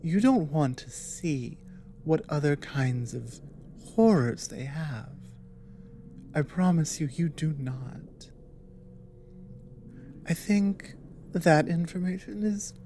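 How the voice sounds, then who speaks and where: A woman playing an earnest, apologetic female dragon in an ASMR audio roleplay, explaining things hesitantly in a soft voice. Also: low-pitched, expressive, takes it slowly, pauses often, a little hiss or hum, heavily acted. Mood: grave, warning. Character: earnest, apologetic female dragon warning a human ally of war.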